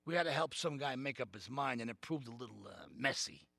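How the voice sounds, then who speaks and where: An actor playing a boisterous, menacing mafia boss in a video game, with an emphatic, swaggering delivery. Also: male voice, medium pitch, expressive, fairly quick, creaky, sharp tone, no room sound, clean studio sound, full character voice. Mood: matter-of-fact, sly. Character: boisterous Italian-American mafia boss, proud yet menacing. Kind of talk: video game cutscene acting.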